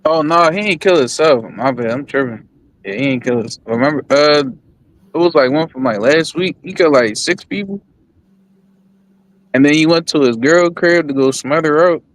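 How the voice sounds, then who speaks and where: A man's voice: a little high, moderate pace, rough recording. A man chatting casually as a livestream panelist, in loose slang. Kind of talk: casual chat.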